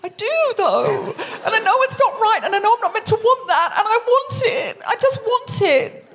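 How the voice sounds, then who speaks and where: Playful high-voiced woman hosting live. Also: big pitch swings, moderate pace, fairly bright tone. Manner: playful and self-mocking. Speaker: comedy podcast host. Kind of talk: live comedy podcast banter. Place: live show before an audience.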